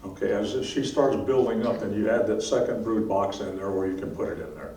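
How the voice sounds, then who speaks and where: A man's voice: fairly low pitch, brisk, dark tone. Man teaching beekeeping in echoey room. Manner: plain, practical, repeats words and checks in with "okay?". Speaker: beekeeping instructor. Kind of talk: answering class questions. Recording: distant mic in echoey room with faint noise.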